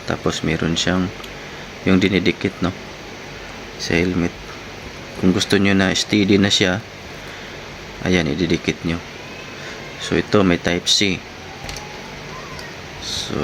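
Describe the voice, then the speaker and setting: A man giving a casual product review to viewers in short, informal phrases. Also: deep voice. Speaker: vlogger reviewing gear. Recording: clearly noisy, steady background hum.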